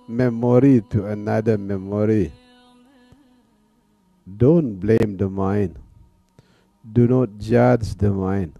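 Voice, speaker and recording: A man teaching guided meditation in a calm manner, leaving long pauses between phrases. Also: fairly low pitch, no echo. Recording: dull, lo-fi sound. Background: soft music.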